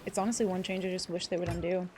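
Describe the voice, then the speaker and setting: A woman's voice: on the low side, racing, slight background noise. Chatty, swearing woman streamer. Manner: chatty, excitable, swears freely. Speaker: livestreamer. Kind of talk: casual gameplay commentary.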